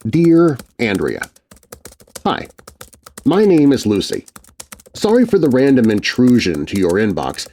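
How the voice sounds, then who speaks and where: Expressive man narrating a scary story. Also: on the low side. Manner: expressive reading, hushed for tense moments.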